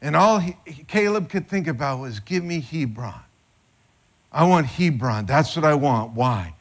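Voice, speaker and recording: Middle-aged pastor preaching on a church stage, slow and deliberate, kinda high voice, gets worked up. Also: male voice. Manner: animated, gesturing preacher, rises in pitch when excited. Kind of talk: sermon. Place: church stage with band instruments.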